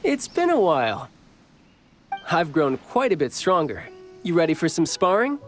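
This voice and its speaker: A man's voice: on the high side, sing-song, moderate pace, over music. A man voicing a cocky, friendly young warrior in a video game, with a teasing, confident manner. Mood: warm, playful. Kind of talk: video game character voice lines.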